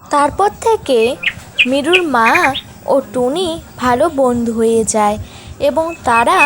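A woman's voice: high-pitched, lively intonation, takes it slowly, very noisy. A woman narrating a scripted children's cartoon story.

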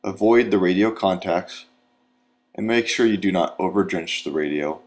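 Low-voiced American man giving instructions. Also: normal speed. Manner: methodical, step-by-step. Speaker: training video instructor. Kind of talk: scripted instructional narration.

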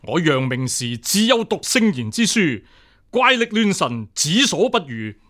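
A man with a Hong Kong Cantonese accent playing a stern, righteous imperial examiner in a radio drama, forceful and oratorical. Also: a little high, big pitch swings, unhurried. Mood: indignant. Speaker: actor.

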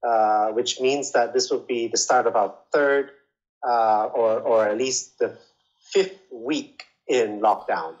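A man answering interview questions casually, pausing and repeating words as he explains. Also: mid-range voice, dull audio. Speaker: interviewee, language school manager.

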